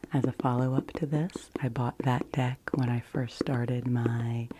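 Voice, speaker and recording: Female ASMR host, breathy whisper, very low and slow, gentle casual explaining. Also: noisy background.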